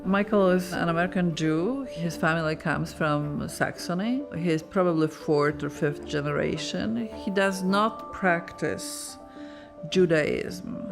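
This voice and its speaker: Slow, halting woman. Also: lowish voice, gravelly, music in the background. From Poland. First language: Polish. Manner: reflective, halting, searching for words. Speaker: librarian. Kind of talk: documentary interview.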